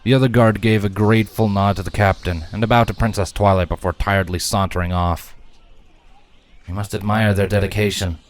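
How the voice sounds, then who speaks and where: A man narrates a fantasy story from a script. His voice sits fairly low as he tells the tale in the role of storyteller. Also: fairly even intonation, fast, few pauses. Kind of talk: scripted fan fiction narration.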